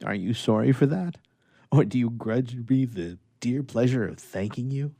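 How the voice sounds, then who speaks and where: Solemn, earnest doctor in love, swooping delivery. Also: male voice, medium pitch, lively intonation, creaky, dark tone, no echo. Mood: solemn, grateful. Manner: solemn, halting, heartfelt. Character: earnest, honest, half-awkward young doctor in love. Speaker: radio theatre actor. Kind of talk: dramatic reading in radio theatre.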